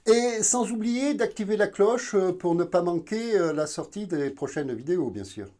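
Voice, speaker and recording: Male video host from southern France: fairly high but soft-toned voice, halting explanatory delivery. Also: animated. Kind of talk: informal educational narration.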